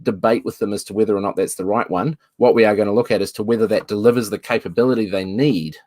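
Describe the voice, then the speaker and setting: A man's voice: fairly low pitch, fairly even intonation, quick pace, a little mellow, no room sound. A man from New Zealand, a guest in a casual video-call chat, thoughtful and given to restarting phrases.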